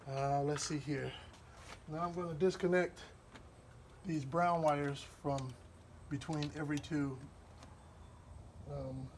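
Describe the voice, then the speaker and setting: A man's voice: on the high side, noisy background. Wisconsin man talking through a car repair. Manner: relaxed, rambling, with fillers and pauses while working. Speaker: DIY car repair host. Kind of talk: hands-on repair walkthrough.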